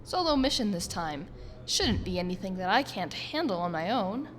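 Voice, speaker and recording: A woman's voice: mid-range voice, big pitch swings, moderate pace. A woman playing a feisty, sarcastic young pilot on a solo mission in a sci-fi audio drama, given to wry muttering and swearing. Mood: wry, confident. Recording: loud vehicle and ambient effects noise.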